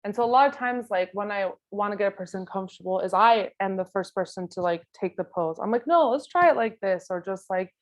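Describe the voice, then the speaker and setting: A woman's voice: mid-range voice, brisk, hardly any pauses. A woman guest photographer answering interview questions casually and informally, thinking aloud with plenty of filler phrases. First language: not Spanish; Spanish is second language. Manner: informal, thinking aloud, frequent "like" and "you know". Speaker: guest photographer giving an artist talk.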